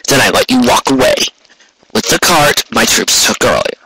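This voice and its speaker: A man voicing a firm, authoritative stallion guard captain in a story reading, measured and commanding. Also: medium pitch, animated, noisy background, close to an everyday voice.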